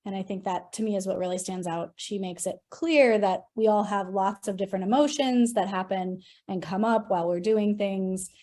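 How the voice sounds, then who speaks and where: A woman in a workshop shares her view in an earnest, appreciative tone. Her voice sits in the middle range for a woman and stays steady. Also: medium pitch, normal speed, hardly any pauses. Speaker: teacher educator. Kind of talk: workshop discussion comment.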